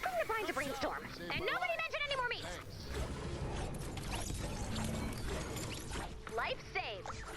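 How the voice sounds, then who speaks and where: An actress voicing an eager, brainy, take-charge girl in an animated game, precise and dry-witted. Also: very high-pitched, quick pace, loud music behind the voice, full character voice. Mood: urgent. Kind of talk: animated video game voice acting. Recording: background ambient noise.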